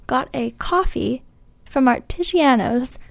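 Female correspondent, high pitch, dry sound, emphatic mock-serious reporting. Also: animated, takes it slowly, gravelly, no echo. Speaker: city hall correspondent.

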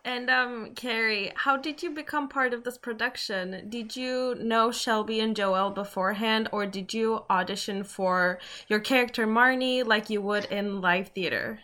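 A woman's voice: fairly high pitch, fairly even intonation, normal speed, few pauses, pushed and bright. A woman hosting an arts radio show in a chatty, informal, self-deprecating way. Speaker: radio show host and interviewer. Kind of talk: casual arts radio presenting.

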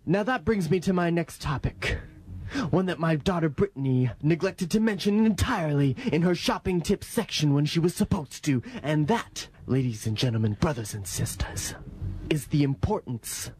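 Theatrical, husky money-preaching revivalist. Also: male voice, a little high, lively intonation, normal speed, darkish tone. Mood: pompous, mocking. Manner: theatrical, bombastic, exhorting. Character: fervent male revival preacher of money and consumerism. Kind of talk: parody revival sermon.

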